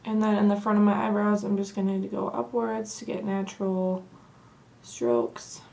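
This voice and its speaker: A young woman with a Southern accent, hoarse from allergies, chatting casually through a makeup tutorial. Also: mid-range voice, moderate pace, soft background music. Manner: chatty and relaxed, says "like" often. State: hoarse from allergies, losing her voice. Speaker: beauty vlogger. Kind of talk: casual get-ready-with-me tutorial.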